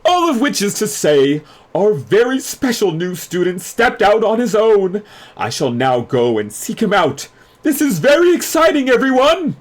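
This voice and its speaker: An excitable male head mage from a fantasy storybook. His voice leaps very high and swings widely, dramatic and full of fluster. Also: measured pace. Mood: dramatic, excited.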